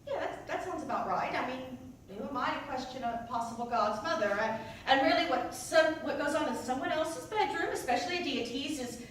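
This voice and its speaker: A very echoey, distant recording of a woman acting as a wry churchgoing mother full of doubt. She delivers a theatrical comic monologue in a high, animated voice, halting and wandering off on digressions. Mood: wry, unsure. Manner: rambling, halting, digressive, self-interrupting. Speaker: actor performing a monologue. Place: indoor staged reading in a reverberant room. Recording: echoey room, distant mic, faint noise.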